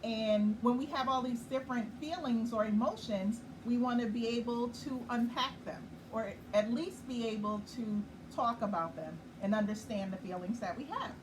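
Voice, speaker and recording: A woman hosting a nightly children's story time, reading a picture book slowly and gently and pausing to explain it. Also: fairly high pitch. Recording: far from the mic with clear background noise.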